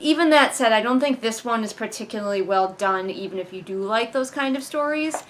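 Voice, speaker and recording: A woman's voice: on the high side, animated, brisk, faint music behind. Exasperated woman giving casual book commentary. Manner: exasperated, sarcastic critique. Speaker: book reviewer and video host. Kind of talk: casual read-along commentary.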